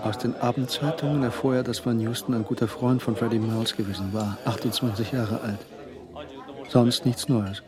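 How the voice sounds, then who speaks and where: An actor narrating a tense crime story in a scripted radio drama. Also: male voice, medium pitch, steady tone, moderate pace, crowd noise, lightly acted. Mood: tense, measured.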